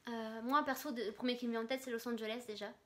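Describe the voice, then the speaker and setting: A young woman with a fairly high voice chats casually with her friend while they answer viewer questions. She talks freely, loops back and restarts her sentences, and laughs along as she goes. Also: steady tone, quick pace. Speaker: co-host of a lifestyle blog channel.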